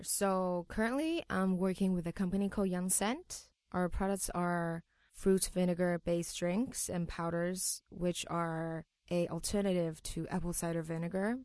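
A woman's voice: fairly low pitch, darkish tone, no room sound, clean studio sound. A young adult woman from Taiwan with a Taiwanese accent, speaking English as her second language, answering interview questions earnestly. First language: Mandarin. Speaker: guest.

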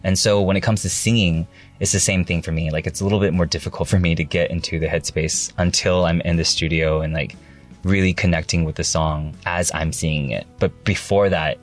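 A man's voice: low-pitched, steady tone, a little fast, soft background music. A young man in casual interview chat, laid-back and rambling with lots of fillers, laughing easily. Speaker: guest, actor and singer.

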